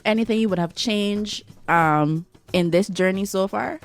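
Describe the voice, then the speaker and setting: A woman hosting a radio interview, asking thoughtful questions in a slow, drawn-out way. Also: mid-range voice, soft background music. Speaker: radio host.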